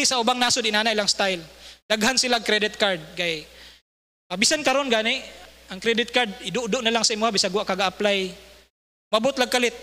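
Middle-aged man, Cebuano accent, bright high voice, casual sermon delivery, long hall reverb. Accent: Cebuano Filipino. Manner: conversational preaching, frequent "no?" tag questions. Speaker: church speaker. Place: large hall with bleachers, indoors. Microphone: handheld mic at a lectern.

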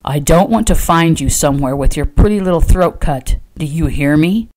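A woman's voice: deep voice, moderate pace, darkish tone, voice pushed down, close to an everyday voice. A woman voicing a stern, protective male rancher from a Western romance, speaking tersely in orders. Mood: commanding.

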